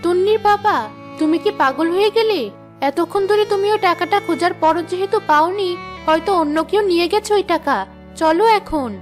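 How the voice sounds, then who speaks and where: Actress as a caring wife and mother in a cartoon, calm and reassuring, very high pitch, faint music. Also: fairly quick. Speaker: narrator and mother.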